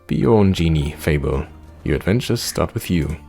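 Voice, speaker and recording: A man voicing a calm fantasy innkeeper in a game, measured, dry-witted and reassuring. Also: low-pitched, vocal fry, faint music behind. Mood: calm, encouraging.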